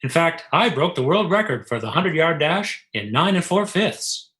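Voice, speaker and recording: A man's voice: on the high side, very animated, moderate pace, fairly bright tone. Man playing a smug, boastful athlete. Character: Keith, smugly boastful athlete, old school boyfriend. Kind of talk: 1940s radio comedy acting.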